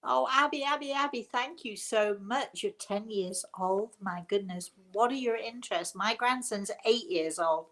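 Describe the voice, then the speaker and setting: An older woman hosting a casual live stream, talking in a halting way and often repeating words as she goes. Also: fairly high pitch, fairly bright tone. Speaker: host. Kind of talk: casual live-stream talk answering viewer comments.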